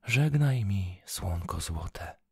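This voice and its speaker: Tiny sorrowful girl whispered by a man, husky, slow, low. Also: lively intonation, dry recording, studio quality, full character voice. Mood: sad farewell. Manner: soft tearful whisper. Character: tiny sad fairy-tale girl.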